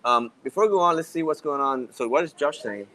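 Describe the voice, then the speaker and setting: A man with a Puerto Rican accent co-hosting a podcast at a live event, playful and quick to joke and laugh. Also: a little high, lively intonation, bright, forceful tone, rough recording. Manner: playful, joking, laughs often. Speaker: podcast co-host. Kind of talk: casual chat at a live event.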